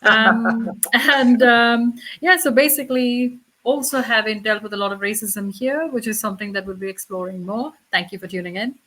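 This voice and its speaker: Bright, high-voiced woman from south India. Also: normal speed, hardly any pauses, rough recording. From Chennai, south India. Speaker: guest, anti-racism consultant.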